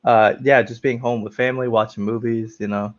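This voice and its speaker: A young man speaks loosely and casually, circling back and restarting his sentences while filling the gaps with "like" and "um." His voice sits at a middling pitch. The call sound is a little muffled and lo-fi. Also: fairly even intonation, fairly quick. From United States, raised in New York and South Florida. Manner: rambling, filler-heavy, frequent restarts. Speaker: guest actor. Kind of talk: casual interview chat. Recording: slightly dull, lo-fi sound.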